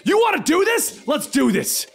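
A man voicing a cocky young male superhero who shouts taunts at his enemy in a comic recap. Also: very high voice, huge pitch swings, normal speed, gravelly, voice pushed up, heavily acted. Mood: taunting.

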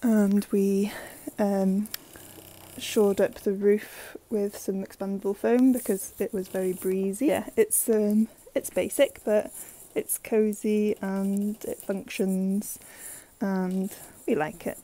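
A young woman giving a relaxed, chatty walkthrough of her land and barn, with plenty of fillers and soft laughs, recorded on an earphone mic outdoors. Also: mid-range voice, takes it slowly. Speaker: vlogger. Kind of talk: casual walkthrough narration.

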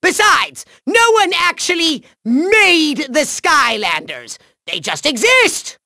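Cartoon evil overlord, very high-pitched, piercing, gravelly, grandiose, sneering, wildly swooping, video game. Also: male voice, big pitch swings, slow, sharp tone, full character voice. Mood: incredulous scorn. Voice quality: shrill, gravelly. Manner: theatrical, grandiose, sneering, cackling. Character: short bald megalomaniac cartoon villain, pompous and tantrum-prone. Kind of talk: video game villain voice lines.

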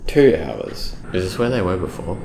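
Very deep-voiced young man, swinging pitch. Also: sing-song, moderate pace, gravelly, echoey room, background noise. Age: young adult. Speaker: gaming video co-host. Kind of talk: casual playthrough commentary. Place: indoor room, seated beside co-host. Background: loud video game music.

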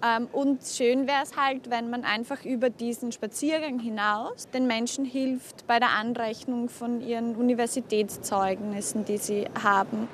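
A woman's voice: fairly high pitch, fairly even intonation, unhurried, hardly any pauses, a little hiss or hum. A young woman from Styria, Austria, chatting outdoors as a volunteer tour guide, friendly, with frequent fillers. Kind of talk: casual conversation and interview. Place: outdoors on a city square.

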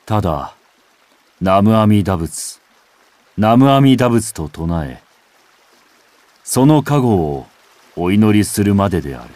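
A man reciting a literary first-person text as a scripted narration, in a measured, contemplative way with dramatic phrasing. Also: lowish voice, big pitch swings, darkish tone, no room sound. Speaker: audiobook reader. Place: home recording.

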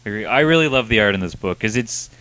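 A young man co-hosting a casual comic chat, quick and joking, speaking into a handheld mic in a small indoor studio. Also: lowish voice, lively intonation, pushed and bright, dry recording. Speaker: co-host.

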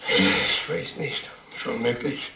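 An actor playing a cornered, frightened peddler in a crime radio drama, halting and submissive. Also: male voice, mid-range voice, lively intonation, sharp tone, reverberant, slight background noise, heavily acted, rough recording. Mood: subdued. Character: frightened, cornered male peddler suspected of murder.

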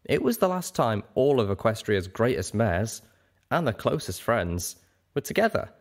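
Storybook dragon, performed by a man: huge, old, male, earnest and wistful, reflective delivery with wide-swinging pitch. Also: very animated, normal speed. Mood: sadly nostalgic.

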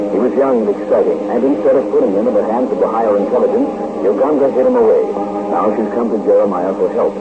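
A man giving a dramatic, measured narration recap for a radio serial. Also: fairly low pitch. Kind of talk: scripted narration. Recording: tinny, loud hiss, old radio.

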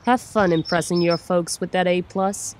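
A woman's voice: lowish voice, steady tone, moderate pace, darkish tone, a little hiss or hum, lightly acted. A woman playing a self-assured, slangy teenage gang member in a comic dub. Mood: smug, relaxed. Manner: slangy, cocky. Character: smooth, self-assured teenage gang forger, proud of the work.

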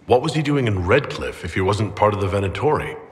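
Man as calm fantasy leader, low voice, expressive, measured, serious, reverberant hall. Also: lightly acted. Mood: calm, concerned. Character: composed, authoritative male leader of a holy army. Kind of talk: dramatic fantasy game dialogue.

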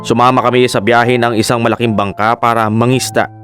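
Here is a man playing a remorseful fisherman telling his story in a radio drama, quiet and reflective at a mid pitch. Clear guitar music plays behind his narration. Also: little pitch movement, fairly quick, lightly acted.

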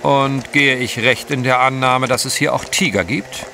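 An actor playing a polite, unflappable English gentleman adventurer in an audio drama, with formal phrasing. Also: mid-range voice, steady tone, moderate pace, gravelly, background noise, natural voice. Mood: composed. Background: dramatic music.

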